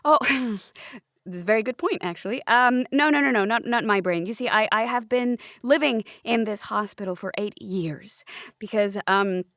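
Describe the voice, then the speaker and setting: A woman's voice: a little high, normal speed, a bit bright, no room sound, clean studio sound. Woman as a flustered, earnest investigative writer. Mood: sheepish, flustered. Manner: hesitant, stammering, earnest.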